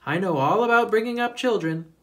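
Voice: mid-range voice, lively intonation, a little fast, a bit bright, voice pushed up, lightly acted. A storybook reader voicing a proud, reassuring mother duck of unclear performer sex, calling out with motherly confidence.

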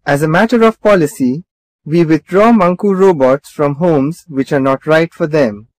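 Stern customer service man for a kids' cartoon, male actor: fairly high voice, formal, firm, closely recorded. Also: moderate pace, dry recording, natural voice, rough recording.

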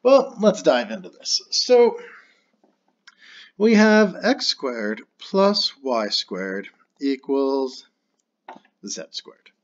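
A man's voice: medium pitch, sing-song, extremely slow, pauses often, dull audio. A man teaching a calculus problem step by step while writing it out on screen. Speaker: math teacher. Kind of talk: screen-recorded math lesson.

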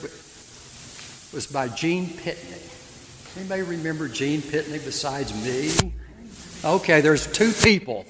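A man's voice: a little high, expressive, slow, long pauses. A man in his seventies, a priest giving a sermon and telling stories, often turning to the congregation with questions. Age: 70s. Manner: gentle storytelling preacher who asks the congregation questions. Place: church. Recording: echoey church hall with hiss.

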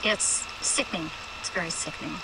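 Fifties lady neighbor on the news, gravelly low voice, slow, super noisy clip. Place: outdoors by a street. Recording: TV interview clip, loud noise.

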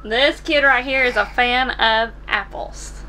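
An American woman in her twenties hosts a casual home cooking vlog. Her voice sits on the high side and is notably bright and forward. She is recorded on a camera in a small kitchen, with light noise behind her. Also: takes it slowly, poor audio. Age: 20s. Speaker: vlog host. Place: small camper kitchen, indoors.

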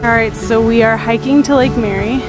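Female travel vlogger, higher-pitched, informal and conversational, music under the voice. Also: steady tone, fairly quick, poor audio. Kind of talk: casual vlog chat. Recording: music behind the voice.

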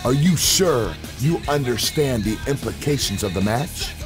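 A man playing a stern official in a video game cutscene, speaking in a measured, deliberate way. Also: mid-range voice, animated, music in the background, natural voice. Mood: cautioning.

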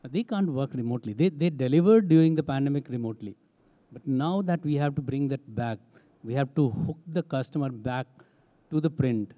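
A man's voice: medium pitch, a little fast, warm tone. Emphatic Indian man on a panel. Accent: Indian English. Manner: emphatic, repetitive phrasing. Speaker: HR head, panelist. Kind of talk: panel discussion answer. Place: large conference stage. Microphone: handheld mic.

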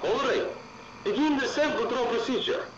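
A formal Russian-accented facility controller gives orders in a high, lively voice. The sound is echoey and dull, with loud noise behind it. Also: male voice, moderate pace. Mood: instructing. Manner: formal, instructing.

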